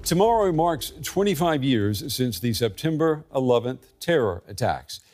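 Measured male news anchor. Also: medium pitch, sing-song, music in the background. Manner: measured, scripted delivery with occasional ums in interviews. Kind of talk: broadcast news presenting.

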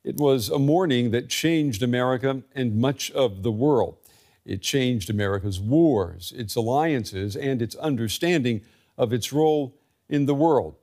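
Measured male news anchor. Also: medium pitch, darkish tone. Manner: measured, scripted delivery with occasional ums in interviews. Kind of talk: broadcast news presenting.

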